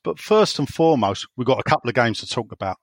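A man's voice: mid-range voice, expressive, quick pace, dry recording, clean studio sound. A man hosting a casual podcast over a call, teasing his co-hosts and talking in stop-start bursts with lots of fillers. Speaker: podcast host standing in. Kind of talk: casual chat, jokey.

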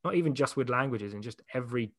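A man, chatting as an interview guest, quick to laugh and full of anecdotes. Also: medium pitch, animated, fairly bright tone, no echo, studio quality. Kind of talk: casual chat.

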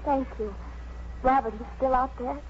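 A woman's voice: high voice, steady tone, moderate pace, close to an everyday voice. An actress playing an anxious, fragile young woman in a 1950s radio drama, hesitant, pleading and stammering. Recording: muffled, hissy 1950s radio broadcast.

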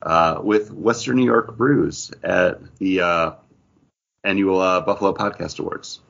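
A man's voice: deep voice, measured pace, vocal fry, fairly bright tone. A man co-hosting a podcast in casual, joking chat, self-deprecating. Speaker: podcast co-host. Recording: dull lo-fi recording.

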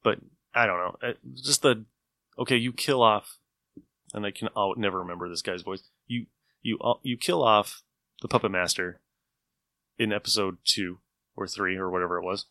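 A man hosting a podcast in casual chat about old radio shows, telling things in an animated way. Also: on the low side, normal speed, long pauses, no echo. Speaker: podcast host.

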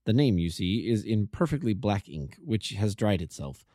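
Cool, measured detective voiced by a male reader, low and clipped, dark mellow tone. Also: big pitch swings, no echo, clean studio sound. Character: cool, lordly Victorian detective, middle-aged.

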